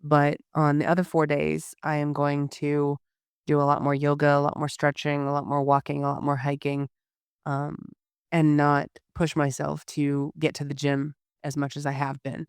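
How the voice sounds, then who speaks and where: Hoarse woman, flat candid update. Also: low voice, normal speed, no echo, clean studio sound. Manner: candid, hesitant with restarts. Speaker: podcast host. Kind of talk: casual personal update.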